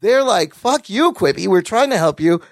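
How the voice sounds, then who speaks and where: A man hosting a podcast, chatting casually about news with co-hosts in an excitable, sweary way. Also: high voice, moderate pace, vocal fry, no echo, studio quality. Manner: excitable, profane. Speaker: podcast host.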